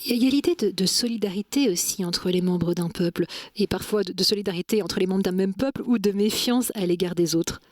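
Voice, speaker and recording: A woman's voice: mid-range voice, fast, few pauses, darkish tone, dry recording, clean studio sound. Composed woman radio interviewer. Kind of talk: interview questions.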